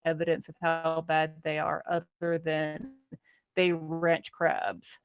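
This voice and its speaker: A woman's voice: sits low, monotone, slow, smooth, highs cut off. A woman in a casual book club discussion, speaking analytically and posing a lot of questions as a panelist.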